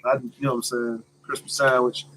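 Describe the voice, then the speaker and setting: A man's voice: medium pitch, little pitch movement, fairly quick, a bit bright, slight background noise, poor audio. An American man in his thirties chatting casually as a live stream host, answering questions in a blunt, slangy, joking manner with some swearing. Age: 30s.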